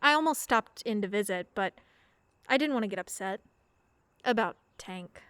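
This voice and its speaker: A woman playing a shy teenage girl in a radio play, speaking softly and hesitantly. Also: mid-range voice, lively intonation, moderate pace, smooth, dry recording, natural voice. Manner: quiet, hesitant, soft-spoken. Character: shy teenage girl, new in town, quiet. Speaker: daughter.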